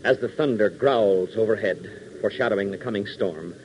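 A man's voice: lowish voice, moderate pace, a little mellow, a little hiss or hum. A man announcing and narrating a 1940s radio adventure serial, speaking emphatically and in a folksy way to young listeners. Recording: dull, lo-fi 1940s radio recording.

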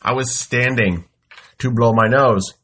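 A man hosting his own show, telling a comic story in a slow, wry deadpan with exasperated outbursts while congested from a head cold. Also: mid-range voice. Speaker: podcast host. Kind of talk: comic monologue. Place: home studio.

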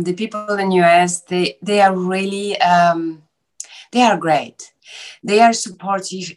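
A woman with a Polish accent, an actress and director, answering interview questions in a halting way and often stopping to search for words. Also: medium pitch. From Poland. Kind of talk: interview guest, casual conversation.

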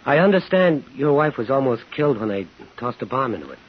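A man's voice: mid-range voice, sing-song, brisk, breathy edge. An actor playing a determined, probing homecoming serviceman, terse and hard-boiled, in 1950s radio thriller style. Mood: cool, probing. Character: determined, probing man in uniform, bitter homecomer. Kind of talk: 1950s radio thriller acting and first-person narration. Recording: dull 1950s radio recording.

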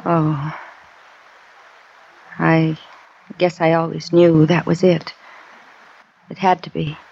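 A woman playing a heartbroken, resigned woman in a 1950s radio drama, speaking haltingly. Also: sits low, level tone, gravelly, darkish tone, breathy edge, full character voice. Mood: resigned sadness. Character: heartbroken, resigned young woman, jilted lover. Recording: dull vintage 1950s radio recording.

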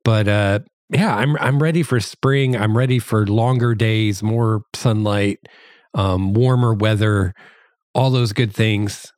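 A man from the American Midwest hosting his own podcast, talking in a relaxed, chatty way with many fillers and repeated words, his voice slightly hoarse from allergies. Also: on the low side, takes it slowly, dry recording, studio quality. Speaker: podcast host. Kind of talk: casual solo podcast monologue.

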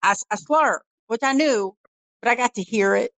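A woman's voice: fairly high pitch, normal speed, fairly bright tone, no room sound. A woman from Texas with a Southern drawl, co-hosting a podcast, hesitant and self-conscious. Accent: Texan Southern drawl. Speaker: podcast co-host. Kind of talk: casual chat.

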